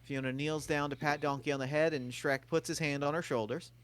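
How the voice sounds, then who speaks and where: A man reading stage directions at a table read, plainly and matter-of-factly. His voice is bright and a bit high, and it sounds close and dry. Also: fairly even intonation, a little fast, clean tone, no echo.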